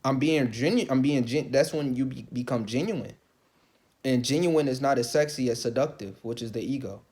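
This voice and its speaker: A man's voice: mid-range voice, normal speed. Rambling, cursing man ranting to camera. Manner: swearing, rambling, repeats himself, rises to loud excited outbursts; calls his own voice light. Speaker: vlogger. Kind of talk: casual rant to camera.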